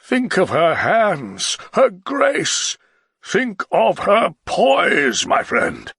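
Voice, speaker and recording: A narrator puts on a melancholy, blustering nobleman who stretches his words slowly and loudly, his voice raised high above the storyteller's own and rough with gravel. He swings into sudden shouts, heavy with wounded pride, in a dry close recording. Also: huge pitch swings, no echo, exaggerated voice. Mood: scornful. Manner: loud, drawn-out, exclamatory delivery, sometimes shouting. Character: blustering, melancholy middle-aged male nobleman.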